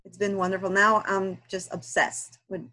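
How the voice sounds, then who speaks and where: Woman, soft-spoken, halting, frequent pauses and fillers, casual podcast guest chat, mid-pitched voice. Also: level tone. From Guatemala. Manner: hesitant, many fillers and restarts. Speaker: guest listener and aspiring romance author.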